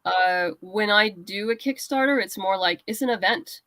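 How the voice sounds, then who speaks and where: A woman's voice: medium pitch, normal speed, sharp tone, no room sound. A woman speaking as a guest creator in a casual interview, giving practical advice in a conversational way. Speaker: guest writer and comic creator. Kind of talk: casual interview chat about crowdfunding.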